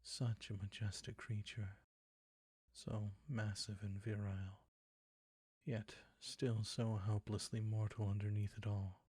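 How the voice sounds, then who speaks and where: Male actor as older vampire count, possessive and condescending, dark mellow tone, close dry sound, theatrical formal phrasing, whispery roleplay. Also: little pitch movement, unhurried, dry recording. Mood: smug, coaxing menace. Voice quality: husky, breathy, low. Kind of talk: intimate scripted roleplay audio, ASMR-like.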